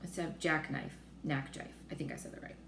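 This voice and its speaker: Woman storybook reader, lowish voice, expressive narration, roomy echo, faint noise. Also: fairly quick. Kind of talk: expressive children's read-aloud narration.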